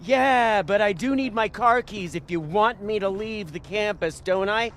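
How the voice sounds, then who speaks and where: A woman's voice: mid-range voice, unhurried, slight background noise, natural voice. A woman playing an indignant, sarcastic professor in a video game, with a biting, agitated delivery. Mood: sarcastic, defiant. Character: indignant, sarcastic professor being escorted off campus. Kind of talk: naturalistic video game voice acting.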